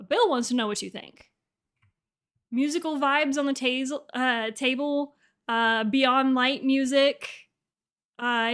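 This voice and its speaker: A woman hosting a casual livestream reaction chat, enthusiastic and gushing in a halting way. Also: high-pitched, a bit bright, smooth. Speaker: livestream host and voice actor. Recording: faint music behind.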